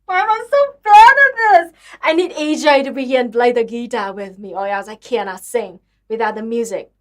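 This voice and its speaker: A woman's voice: on the high side, animated, normal speed, fairly bright tone. A young woman with a Vietnamese accent, chatting casually and apologetically on a livestream, sounding tired. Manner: chatty, apologetic, self-deprecating. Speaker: livestream host. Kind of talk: casual livestream chat with singing.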